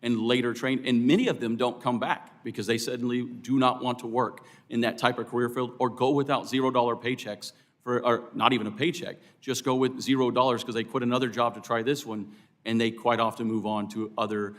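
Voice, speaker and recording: Male American union official as hearing witness, medium pitch, earnest and emphatic, formal yet unscripted. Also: little pitch movement, a little fast. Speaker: air traffic controllers' union official testifying as witness. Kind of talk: spontaneous formal testimony. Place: congressional committee hearing room.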